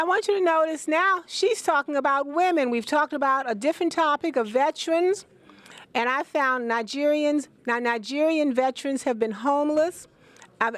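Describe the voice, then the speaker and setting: A woman's voice: high-pitched, dry recording. A woman interviewing guests at an event as the host, repeating their answers aloud for the audience.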